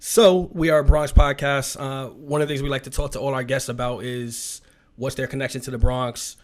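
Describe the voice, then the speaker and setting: A man co-hosting a podcast who speaks in a measured, encouraging way as he introduces the guest. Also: medium pitch, steady tone. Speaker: podcast co-host. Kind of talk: casual podcast interview.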